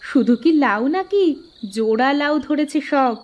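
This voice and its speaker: A woman's voice: high voice, lively intonation, unhurried. A woman voicing a practical, firm village wife and mother in a cartoon, homely and assertive. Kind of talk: Bengali cartoon voice acting.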